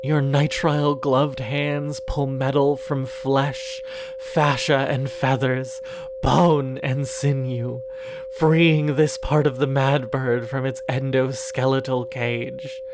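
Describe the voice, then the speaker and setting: A man narrating a second-person horror story in a hushed, deliberate, literary way. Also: medium pitch, level tone, background noise.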